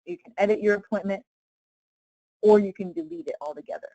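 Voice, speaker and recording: Female software trainer, mid-pitched, informal and instructional, checks in with learners often, dry close-miked sound. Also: lively intonation, fairly quick, creaky, no room sound, highs cut off. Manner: informal, step-by-step explaining with check-in questions. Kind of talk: casual live screen-share tutorial.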